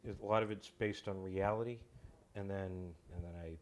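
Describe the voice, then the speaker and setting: A man with a fairly low voice talks as a guest in a relaxed interview. He feels his way through sentences haltingly, doubling back on words as he goes. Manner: hesitant, halting, repeats words mid-sentence. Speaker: guest, writer and creator of a comedy audio show. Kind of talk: casual interview chat.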